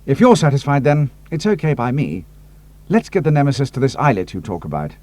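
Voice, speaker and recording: A man's voice: mid-range voice, sing-song, brisk, dark tone, lightly acted. A man voicing a loyal, cautious pilot sidekick who talks earnestly. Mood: agreeable. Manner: earnest and a little doubtful.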